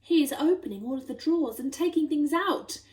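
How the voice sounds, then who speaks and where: Woman as a soft, high-voiced storybook giraffe. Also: animated, a little fast, roomy sound. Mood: urgent, hushed. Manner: soft, careful delivery. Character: gentle female giraffe, magical and discreet. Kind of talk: children's storybook character voice.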